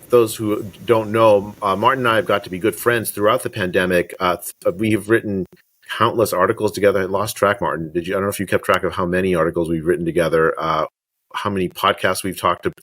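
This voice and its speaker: A man in his fifties hosts a podcast over a webcam from his study, speaking with many false starts and "uh"s. His voice sits low, and the sound is dry and close with little room echo. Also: fairly even intonation, a bit bright. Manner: halting, many restarts and fillers. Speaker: podcast host. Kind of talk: video call interview. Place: home study with bookshelves. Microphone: webcam on video call.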